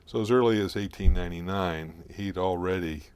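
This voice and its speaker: A man speaking as an expert guest in an interview, explaining in a halting, deliberate, scholarly way. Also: deep voice, darkish tone, smooth, no echo.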